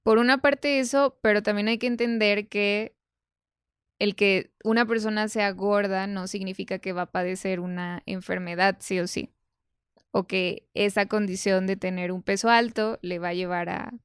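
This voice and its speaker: Crisp woman explaining point by point. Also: mid-range voice, steady tone, moderate pace, dry recording, clean studio sound. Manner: didactic, emphatic, point by point. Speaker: podcast guest, nutrition expert. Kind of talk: conversational explanation.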